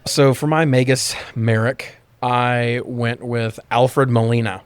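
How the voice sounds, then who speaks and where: A man's voice: medium pitch, little pitch movement, slow pace, clear, resonant tone, no echo. A man co-hosting a podcast, chatting casually and enthusiastically about movies with plenty of swearing. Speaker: podcast co-host.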